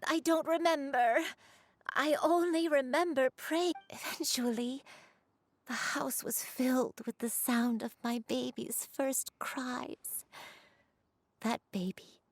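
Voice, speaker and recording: A frail, breathy old granny. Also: female voice, sits high, steady tone, no echo, heavily acted. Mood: remembering. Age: older. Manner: hesitant, halting, soft-spoken. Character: frail elderly grandmother with a fading memory. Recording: game audio with soft music.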